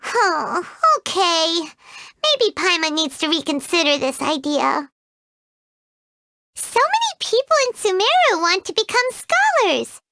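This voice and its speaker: Game sidekick: tiny, greedy fairy, very high and bright, bouncy delivery, dry studio sound. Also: female voice, slow pace, no echo, exaggerated voice. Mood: chirpy. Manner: speaks of herself in third person, excitable. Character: tiny floating female fairy companion, greedy and chatty. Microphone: studio mic.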